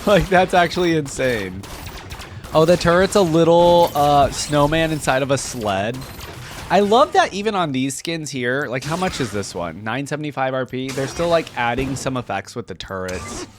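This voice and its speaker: A man's voice: on the high side, very animated, normal speed, soft background music. A man giving casual reaction commentary as a video creator looking over game content.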